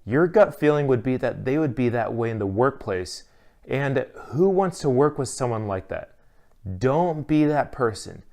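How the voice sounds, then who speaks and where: A man's voice: mid-range voice, expressive, measured pace, vocal fry, warm tone. Man narrating a scripted lesson. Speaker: instructor.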